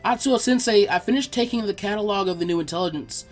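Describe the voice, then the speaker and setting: A man's voice: high voice, fast talker, faint music behind, pitched above the performer's own voice, close to an everyday voice. A man voicing an eager, bookish girl archivist in a fan fiction reading, proud, fussy and insistent. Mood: sheepish.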